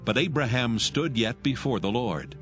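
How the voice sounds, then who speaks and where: A man narrating scripture from a script in a measured, solemn manner. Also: mid-range voice, animated. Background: soft music.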